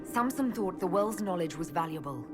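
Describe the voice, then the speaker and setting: Game heroine actress: female elven leader, pragmatic, composed, challenging, slightly low register, music underneath. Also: lively intonation, moderate pace, natural voice. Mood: composed, firm. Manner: composed, direct. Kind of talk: dramatic fantasy game acting.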